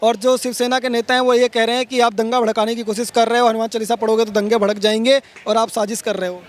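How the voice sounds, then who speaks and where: Very high-pitched, even-toned male interviewer. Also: fast talker. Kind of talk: conversational news interview questioning.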